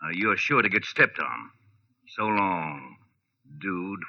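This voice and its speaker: An actor playing a tough, threatening gambling-joint boss in a 1940s radio drama, blustering and slangy. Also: male voice, low-pitched, lively intonation, slow, vocal fry, heavily acted. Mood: menacing. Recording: dull vintage radio recording.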